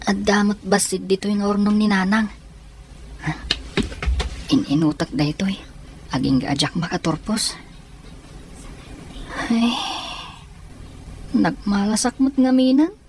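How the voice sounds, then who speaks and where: A woman playing a poor orphaned high school student in a radio drama, with an Ilocano accent. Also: takes it slowly, long pauses, a little mellow, natural voice. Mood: worried. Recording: noisy, ambient background hiss.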